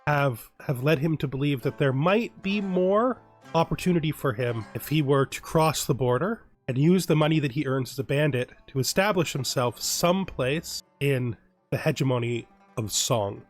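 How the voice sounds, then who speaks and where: A man's voice: medium pitch, normal speed, faint music behind. Rambling man narrating a strategy game. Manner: rambling, thinking aloud with frequent restarts. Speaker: gaming YouTuber. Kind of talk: relaxed let's play commentary.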